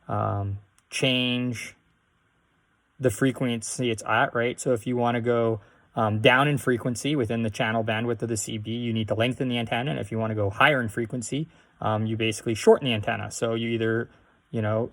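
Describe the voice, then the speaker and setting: Man giving a halting, casual how-to. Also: medium pitch. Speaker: host of a DIY radio tutorial.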